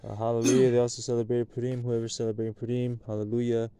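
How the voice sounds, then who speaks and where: A man leads a Sabbath gathering outside at night, reciting prayers and blessings in a warm, mellow voice. He slips between soft murmured asides and loud, chanted lines. A handheld camera picks him up from close by. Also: medium pitch, level tone, fast talker, clear, resonant tone. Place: outdoors at night at a picnic table.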